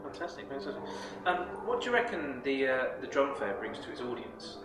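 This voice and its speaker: Quick, upbeat male interviewer. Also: medium pitch, very animated, recorded from a distance, music in the background. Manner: quick, upbeat, brief acknowledgements. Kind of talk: event interview. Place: busy drum fair hall. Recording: echoey hall, crowd noise.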